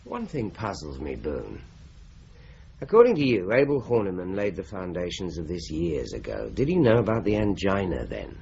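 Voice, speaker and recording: A deep, measured senior investigator. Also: male voice, wildly swooping pitch, creaky, a little mellow, a little hiss or hum, heavily acted. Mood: reflective. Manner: measured, summing up the case. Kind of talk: BBC-style radio drama acting. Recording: dull lo-fi radio play recording.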